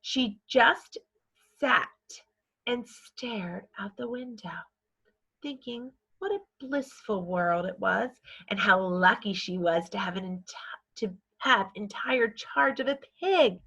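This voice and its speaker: A woman reading a children's story aloud in a measured, scripted narrating style. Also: mid-range voice, lively intonation, bright, forceful tone, husky. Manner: measured, acts out dialogue. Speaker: storybook reader.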